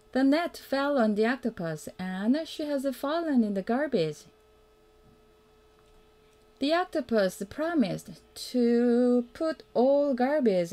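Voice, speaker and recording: A woman reading a children's picture book aloud as a mother would, in a simple, deliberate way that invites the young listener to join in. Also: a little high, warm tone. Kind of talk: read-aloud children's storytelling.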